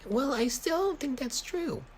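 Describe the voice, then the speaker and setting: A man voicing a scared, whiny young man in a squeaky falsetto, for an amateur story reading. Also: expressive, unhurried. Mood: defensive. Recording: noisy home recording.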